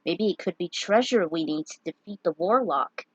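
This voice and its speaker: A woman's voice: on the low side, expressive, normal speed, slightly rough, dry recording, poor audio. A woman voicing a carefree, gleeful young male adventurer in a fantasy story, breezy and coaxing. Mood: upbeat coaxing.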